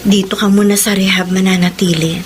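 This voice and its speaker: A mid-pitched voice over noticeable background noise from an actress. She is a caring, soothing woman in a radio drama, gently reassuring. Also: steady tone, fairly quick, close to an everyday voice. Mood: gently concerned. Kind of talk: Filipino radio drama acting. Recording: noisy ambience behind the voice.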